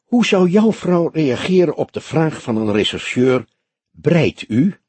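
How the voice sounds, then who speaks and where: A man's voice: fairly high pitch, very animated, unhurried, darkish tone, husky, dry recording, voice pushed up, full character voice. A man voicing a younger detective sidekick in an audiobook, earnest and unsure, with a peevish, questioning manner.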